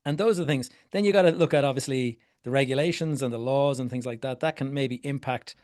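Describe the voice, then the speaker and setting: A man's voice: medium pitch, fast, a little mellow. A middle-aged man from Ireland giving a workshop talk to a live group, conversational, often asking a question and then answering it himself. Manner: conversational, repeats words, asks questions and answers them. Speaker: property investment coach.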